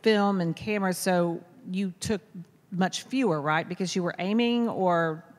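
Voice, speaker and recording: A woman's voice: on the low side, a little slow. An American woman moderating a live question-and-answer event in a conversational way. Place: indoor hall at a public talk. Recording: amplified in a reverberant hall.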